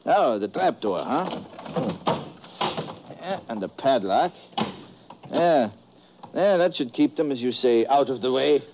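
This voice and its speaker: An obliging truck driver in an old radio play. His mid-range voice swings up and down a lot, mild and a bit hesitant. The vintage recording has clear noise. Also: medium pitch, expressive, slow pace, long pauses. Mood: mild, pleased. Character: helpful, nervous male truck driver, plain working man. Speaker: supporting actor in a radio drama. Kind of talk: 1950s radio acting.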